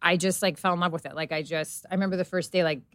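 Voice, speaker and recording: A woman's voice: on the low side, steady tone, fast talker, a bit bright, dry recording. A middle-aged woman, a guest on an interview show, telling her story casually with lots of fillers and quoted inner thoughts. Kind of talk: casual chat.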